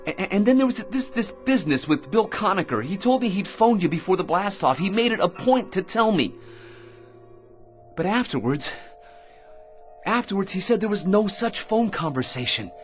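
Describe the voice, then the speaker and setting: An actor playing a shaken air force colonel and family man in a radio drama, earnest, halting and repeating himself. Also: high voice, lively intonation, faint music behind. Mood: agitated, bewildered. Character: shaken married air force colonel and father, insisting he's rational.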